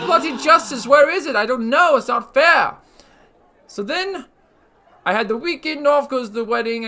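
Comic game show host, voiced by a man: very high, bright, blustering rambler. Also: clear, resonant tone, full character voice. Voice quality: high squeaky put-on voice with slangy blustering delivery. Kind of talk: comedic character monologue on a podcast. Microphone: podcast mic.

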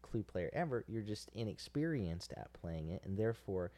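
Mellow, teasing podcast co-host. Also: low voice, expressive, moderate pace. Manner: teasing, encouraging, hesitant with fillers. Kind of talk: casual chat.

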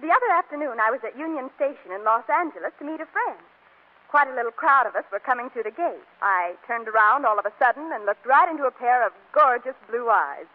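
A woman's voice: fairly high pitch, expressive, quick pace. A woman reporter with a gushing, chatty manner reading scripted 1940s radio advertising, on a tinny recording. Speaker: Hollywood reporter.

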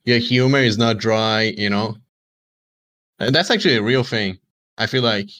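Laid-back slangy man, low voice. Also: moderate pace, sharp tone. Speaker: streamer guest. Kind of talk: casual chat.

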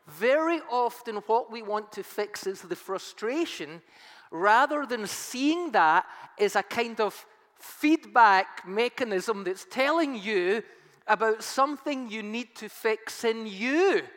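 A man's voice: very high-pitched, bright, forceful tone. A man preaching a sermon as pastor, his voice strained and nearly gone, talking in a chatty way with self-mocking humor. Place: church hall. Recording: long hall reverb, faint music.

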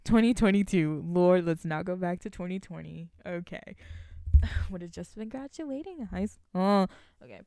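A woman's voice: fairly low pitch, lively intonation, normal speed, warm tone, no room sound, studio quality. A woman in her late teens chatting casually to viewers in a rambling, giggly, slangy way, thinking aloud as she goes. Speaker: vlogger, college student. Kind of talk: casual solo chat to viewers.

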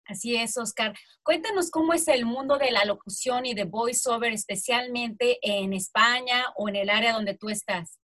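A woman with a Mexican accent speaks in a fairly high voice. She is co-hosting an interview and talks to the guest conversationally. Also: normal speed, a bit bright. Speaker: interview co-host.

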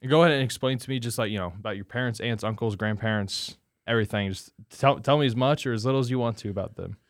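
A man's voice: mid-range voice, fast, no echo, studio quality. A man in his twenties hosting a podcast, reading a scripted intro and then asking easygoing interview questions. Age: 20s. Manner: easygoing, rephrases questions as he asks them. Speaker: podcast host.

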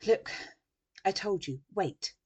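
A woman voicing a pushy, snappy female TV news reporter in a storybook, with a forceful delivery. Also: medium pitch, slow, breathy edge. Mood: brusque, dismissive. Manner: forceful, over-bright on-air delivery.

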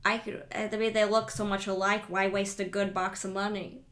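A woman playing a naive, doting mother in an improvised comedy bit, talking in an earnest, oddly literal deadpan. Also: mid-range voice, normal speed, lightly acted.

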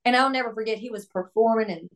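A young woman, a country singer, chatting upbeat in a casual podcast interview, her voice hoarse from laryngitis and laughing often. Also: on the high side, fast.

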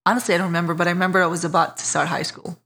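A woman's voice: lowish voice, little pitch movement, racing. A woman from Iraq, a podcast guest telling her life story in a candid, self-mocking way. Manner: warm, candid, laughs at herself. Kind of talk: casual interview storytelling.

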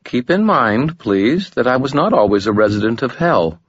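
A poised female demon speaks with formal courtesy and a quiet, amused ease, as a man lifts his voice to play her. The tone sits well above a man's usual range but stays husky. It comes from a dry, slightly dull audiobook recording. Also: medium pitch, very animated, normal speed, no room sound, voice pushed up. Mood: gently amused. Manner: gentle, formal, softly amused.

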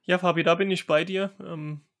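A young man hosting a casual podcast, talking in a relaxed way and thinking aloud with frequent fillers. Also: sits high, normal speed, vocal fry. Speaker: podcast host.

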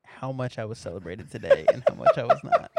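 A man's voice: medium pitch, huge pitch swings, fast talker, creaky, very noisy. Man doing a sulky birthday husband. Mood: whiny. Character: adult man as the birthday husband, self-pitying.

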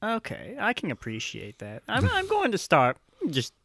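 A man with lively, varied intonation plays a tabletop roleplaying game. He speaks casually, improvising his character's actions. The recording is dry, with little room sound. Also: on the high side, moderate pace, creaky, no room sound.

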